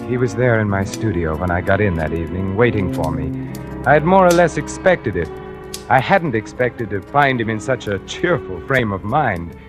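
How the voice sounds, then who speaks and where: A man's voice: on the low side, expressive, moderate pace, lightly acted. Man playing a tormented noir hero over music. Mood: somber, reflective. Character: weary, tormented male painter confessing to murder. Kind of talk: 1940s radio acting, first-person narration and dialogue. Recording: old radio drama recording.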